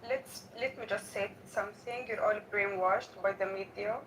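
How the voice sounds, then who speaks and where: A woman's voice: mid-range voice, steady tone, moderate pace. A woman whose first language is Arabic, speaking accented English in a heated casual debate, repeating herself insistently and saying b for p. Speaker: debate participant on a live call. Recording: distant mic with faint noise.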